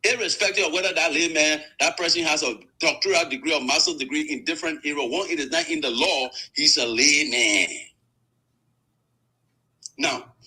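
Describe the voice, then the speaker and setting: A man's voice: a little high, pushed and bright, poor audio. A man, a lawyer making a formal legal argument on a panel show. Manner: measured, formal courtroom phrasing.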